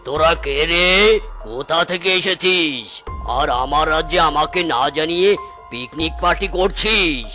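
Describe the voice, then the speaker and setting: Man as a high, forceful cartoon lion bully. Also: moderate pace, faint music behind. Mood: angry. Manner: imperious, threatening. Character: bossy, territorial lion bully guarding his kingdom. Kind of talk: animated children's cartoon dialogue.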